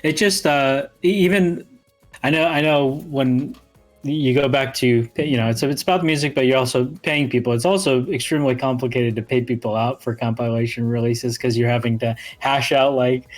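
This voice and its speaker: A man speaking casually as a host in a relaxed panel conversation. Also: medium pitch, normal speed, soft background music.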